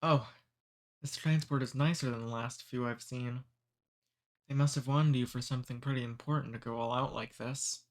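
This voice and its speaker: A man voicing a friendly, centuries-old male demon in an ASMR roleplay. He talks calmly and teases gently. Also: mid-range voice, normal speed, lightly acted. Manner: calm, friendly, gently teasing.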